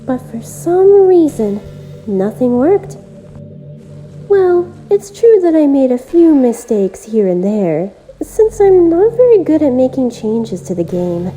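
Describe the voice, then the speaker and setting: A woman voicing a lonely, obsessive, self-aware visual novel game girl who speaks gently and intimately, straight to the listener, in an amateur voice-acted monologue. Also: high voice, big pitch swings, faint music behind, heavily acted, low-quality recording. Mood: breezy, unsettling. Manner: gentle, deliberate, intimate direct address.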